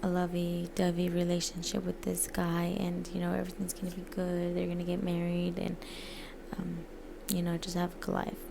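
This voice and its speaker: A woman who grew up in the United States gives an informal, halting book presentation as a student, often pausing and correcting herself. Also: on the low side, monotone, gravelly, noisy background. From United States, born in Mexico. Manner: hesitant, halting, frequent pauses and self-corrections. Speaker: student presenting a literature essay.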